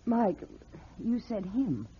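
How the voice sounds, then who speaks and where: A woman's voice: a little high, very animated, slow pace, slightly rough, full character voice. An actress playing a detective's inquisitive, teasing female companion in an old-time radio drama. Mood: curious, teasing. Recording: dull, lo-fi vintage radio recording.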